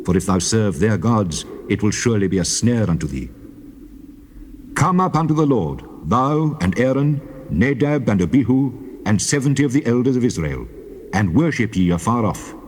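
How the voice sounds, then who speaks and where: A man's voice: on the low side, very animated, moderate pace, a little mellow. A man playing a solemn, authoritative God in a dramatized scripture reading. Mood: summoning. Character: God of Israel, male, commanding, solemn lawgiver. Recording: clearly noisy old cassette tape.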